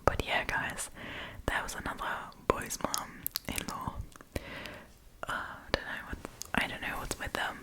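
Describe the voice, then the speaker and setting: A woman speaking in a breathy whisper as an ASMR storytime host, chatting casually and giving her opinions. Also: slow pace. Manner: informal, conversational, opinionated.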